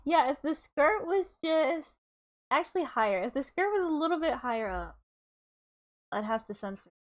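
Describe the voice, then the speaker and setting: A woman's voice: high voice, sing-song, moderate pace. A woman streamer reading aloud and chatting casually in a giggly, playful way. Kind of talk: casual livestream readalong and chat.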